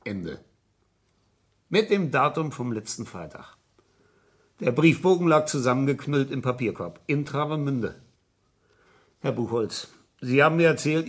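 An actor playing a shrewd, insistent police inspector in a 1970s German radio crime drama, questioning deliberately and sceptically. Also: male voice, medium pitch, lightly acted.

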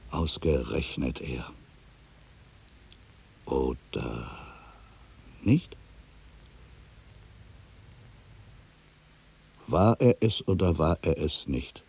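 An older man playing an ageing, bitter writer in a literary radio drama monologue, musing theatrically with rhetorical questions and pauses. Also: very deep voice, creaky, warm tone, slightly rough, heavily acted. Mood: brooding. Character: ageing male writer, brooding, bitter, addressing his past.

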